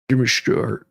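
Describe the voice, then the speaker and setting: A man hosting dramatic readings, making joking asides. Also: fairly bright tone. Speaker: livestream host doing dramatic readings.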